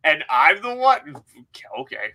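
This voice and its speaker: Bright-voiced, animated young man bantering. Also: on the high side, measured pace, rough recording. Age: young adult. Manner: jokey, laughs through his words, swears. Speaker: author hosting a read-along livestream. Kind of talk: casual banter, reading out chat comments. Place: indoor room at home.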